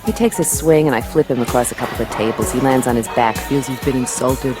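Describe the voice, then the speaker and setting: A woman's voice: very deep voice, fast. A woman narrating a hardboiled radio play as the tough, wisecracking detective lead. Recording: radio play with music underneath.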